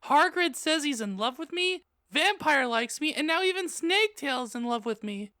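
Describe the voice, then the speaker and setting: Man putting on a shrill, whiny teenage goth girl. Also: animated, normal speed, sharp tone, no echo, clean studio sound, full character voice. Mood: whiny, self-pitying. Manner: whiny, overdramatic.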